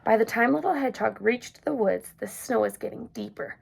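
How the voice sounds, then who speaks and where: A woman reading a children's picture book aloud, gentle and expressive. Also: on the high side, brisk, gravelly, a little hiss or hum. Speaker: storyteller. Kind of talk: children's story read-aloud.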